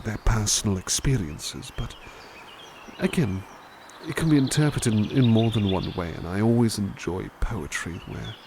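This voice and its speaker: A man playing a gentle, kindly butler who softly reads poems aloud in an ASMR roleplay and muses thoughtfully on each one. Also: lowish voice, vocal fry, noisy background. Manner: soft, musing, thoughtful asides between poems. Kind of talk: ASMR roleplay poetry reading.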